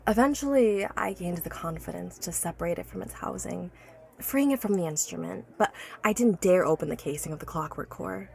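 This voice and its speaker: A woman's voice: fairly low pitch, lively intonation, a little fast, vocal fry, darkish tone. A woman playing an obsessive, learned inventor who recounts her life's work earnestly and articulately in an audio drama. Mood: awed, intense. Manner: articulate, earnest, stammers when awed by her subject.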